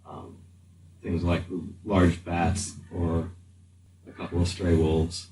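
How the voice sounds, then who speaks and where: A man acting as game master, narrating a tabletop game in an improvised, halting way as he thinks aloud. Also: low-pitched, level tone, warm tone. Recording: slightly distant mic, faint room noise.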